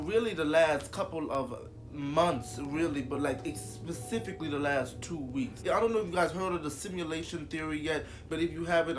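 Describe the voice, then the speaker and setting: A young man with a stuffy, congested voice, talking casually to his channel viewers in a rambling way with lots of fillers and restarts. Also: on the high side. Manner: rambling, repeats himself, many fillers. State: congested from a cold. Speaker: YouTuber. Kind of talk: casual vlog monologue.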